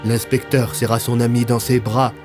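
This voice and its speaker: A man's voice: mid-range voice, flat delivery, moderate pace. Dramatic male storyteller over prominent music. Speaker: narrator. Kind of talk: dramatic scripted story narration. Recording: loud music bed.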